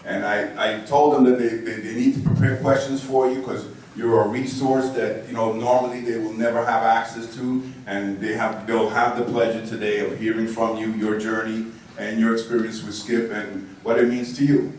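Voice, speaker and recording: A man hosts a live event with a chatty, somewhat halting delivery and repeated phrases. He is heard from far off in a very echoey space with clear background noise. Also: lowish voice, level tone, a little mellow. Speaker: event host, moderator. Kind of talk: informal live introduction and moderating.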